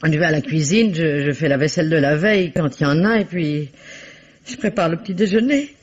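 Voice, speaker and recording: A woman's voice: sits low, lively intonation, fairly quick, breathy edge. An actress playing a flustered, tearful cleaning woman in a 1950s radio drama. She stammers, hesitates and blurts things out. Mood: chatty. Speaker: witness. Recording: dull old radio drama recording.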